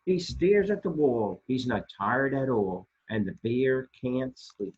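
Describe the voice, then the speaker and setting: A man reading children's picture books aloud as a storytime host, expressively and deliberately, with dramatic pauses and a husky voice. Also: medium pitch, lively intonation, lo-fi sound. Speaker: storytime reader for a library.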